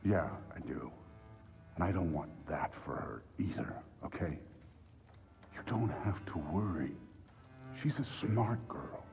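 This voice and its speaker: A big gruff Norse god and tired father from a fantasy game. His voice is very deep and gravelly, plainspoken and devoted. It sits in long reverb, slightly muffled, over faint music. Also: animated, unhurried, pauses often. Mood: reassuring. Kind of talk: dramatic game cutscene acting.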